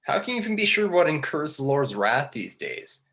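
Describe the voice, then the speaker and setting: A man playing a skeptical, bookish fallen angel in a film script table read, articulate and measured. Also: fairly high pitch, sing-song, fairly bright tone.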